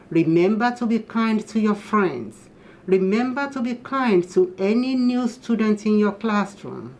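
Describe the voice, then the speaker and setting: Slow, low-pitched woman reading to children. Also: dark tone, a little hiss or hum. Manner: teacherly, explains to children. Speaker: guest storybook reader. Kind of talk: children's picture book read-aloud with asides to listeners.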